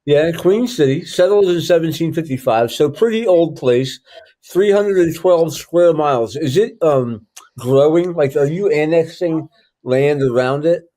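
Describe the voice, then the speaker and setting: A man's voice: fairly high pitch, measured pace, dark tone. A man hosting a casual interview, chatty and loose, thinking aloud with frequent ums. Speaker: host.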